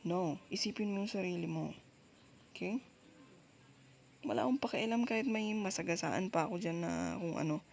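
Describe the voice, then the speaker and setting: A woman with a Filipino accent doing intimate girlfriend roleplay ASMR, speaking softly and closely in a soothing, coaxing, reassuring way. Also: on the low side, moderate pace, creaky, pauses often. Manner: soothing, coaxing, with frequent gentle check-ins. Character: soft dominant, reassuring, apologetic girlfriend comforting her partner. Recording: faint background noise.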